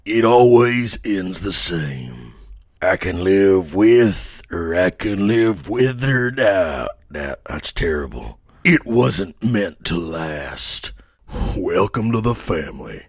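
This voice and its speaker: A man voicing a weary, gloomy, menacing old animatronic in a video game, with a gravelly, husky voice. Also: low-pitched, very animated, slow pace, no room sound, clean studio sound, heavily acted. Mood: weary, ominous. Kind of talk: video game character voice lines.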